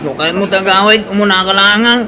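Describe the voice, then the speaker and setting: A man's voice: high-pitched, brisk, pushed and bright, a faint crowd. A man with a Filipino accent playing a poor, thrifty young suitor who is plain-spoken and aggrieved, in a radio comedy-drama. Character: poor, cheap, laid-back young suitor, somewhat sheepish.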